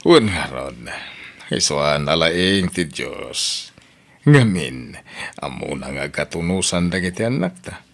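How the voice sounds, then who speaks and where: A man's voice: rumbling low, big pitch swings, takes it slowly, gravelly, fairly bright tone. A man playing a middle-aged father who talks fondly to his children and wife, often laughing.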